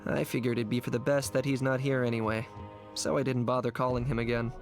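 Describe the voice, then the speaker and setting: A man's voice: medium pitch, little pitch movement, quick pace, over music, close to an everyday voice. An actor playing a dry, unbothered young man in a video game, matter-of-fact in his delivery.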